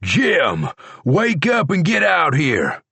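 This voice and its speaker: A man doing a slow-drawling jailed horse thief. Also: sits low, big pitch swings, dry recording, heavily acted. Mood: shouting. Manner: slow, drawling rural talk.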